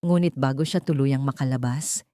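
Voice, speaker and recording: A woman's voice: extremely low pitch, normal speed, warm tone, dry recording, studio quality. A woman narrating a scripted dramatic audio story.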